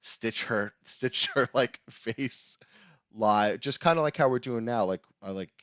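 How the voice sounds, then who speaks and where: A man's voice: mid-range voice, a little slow, a bit bright, dry recording. A man hosting a casual tabletop gaming talk show. He speaks in a loose, rambling way and laughs often. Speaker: podcast host. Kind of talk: casual chat.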